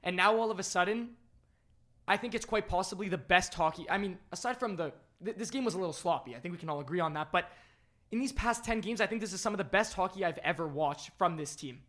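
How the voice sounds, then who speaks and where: A man co-hosting a live sports podcast, losing his voice after yelling, animated and full of restarts. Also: high-pitched, fast. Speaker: podcast co-host. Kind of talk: excited live sports chat.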